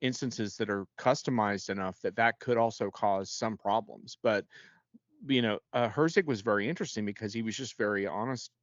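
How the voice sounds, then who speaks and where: A man's voice: lowish voice, moderate pace, no echo. Guy analyst chatting on a panel, corrects himself midsentence, dry muffled lo-fi sound. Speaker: guest technology analyst. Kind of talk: unscripted panel discussion.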